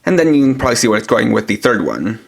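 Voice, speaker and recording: A man's voice: mid-range voice, big pitch swings, fast, gravelly, husky. A man giving a step-by-step, explanatory product demonstration as a toy reviewer.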